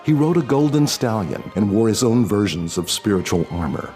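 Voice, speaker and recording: A man reading his own novel as a scripted audiobook, dramatic and solemn in a deliberate storytelling manner. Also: fairly low pitch, expressive, mellow, faint music behind.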